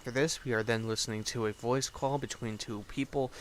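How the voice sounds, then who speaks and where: A man's voice: mid-range voice, fairly even intonation, fairly quick. A young man giving a casual, sarcastic recap commentary in an offhand, sweary way. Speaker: host, video reviewer. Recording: clearly noisy home recording.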